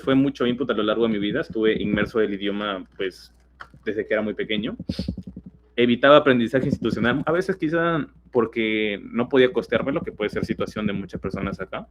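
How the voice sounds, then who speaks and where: A man's voice: medium pitch, highs cut off, background noise. A teenage man from Peru with a Peruvian accent, giving a webinar talk in an explanatory way with many fillers and restarts. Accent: Peruvian Spanish. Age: teens. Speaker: guest speaker.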